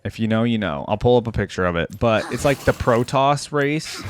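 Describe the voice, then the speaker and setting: A man's voice: medium pitch, animated, brisk, soft background music. A man giving casual reaction commentary on video game skins, rambling and interjecting as he thinks aloud.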